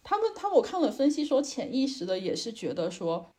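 Female podcast co-host, fairly high voice, emphatic and opinionated in casual chat, often seeking agreement. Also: sing-song, a little fast, warm tone.

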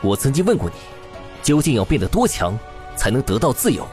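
A man playing a stern, probing roc demon king and mentor in a fantasy audio drama, husky and imperious. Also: medium pitch, big pitch swings, mellow, faint music behind, natural voice. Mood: challenging. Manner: measured, challenging, imperious.

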